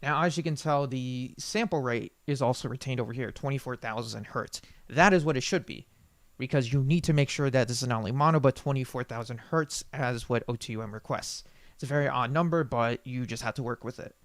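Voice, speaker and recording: Man casually talking through a software tutorial. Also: medium pitch, fairly quick, dry recording. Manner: informal, rambling, self-correcting. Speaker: tutorial presenter. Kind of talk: unscripted software screen-recording tutorial.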